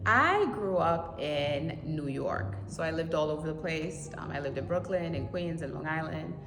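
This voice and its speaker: A woman from Haiti in her thirties, a marathon runner answering interview questions in an animated way, laughing often and repeating words for emphasis. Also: sits low, normal speed, over music. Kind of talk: casual interview. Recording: echoey, reverberant with loud ambient noise.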